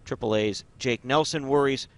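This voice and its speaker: A man with an American accent voices a scripted news package. His pitch is average for a male reporter, delivered in broadcast narration style. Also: normal speed. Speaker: TV news correspondent.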